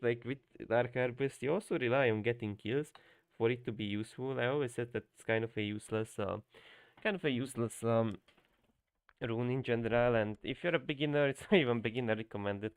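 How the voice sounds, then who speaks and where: A young man whose first language is Hungarian talks through a live stream, wandering and restarting with plenty of fillers. His voice has a gravelly, fry-edged texture. It is recorded dry and close with no room sound. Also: mid-range voice, fast, no room sound. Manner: rambling, many fillers and restarts. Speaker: game coach streamer. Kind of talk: casual live-stream commentary.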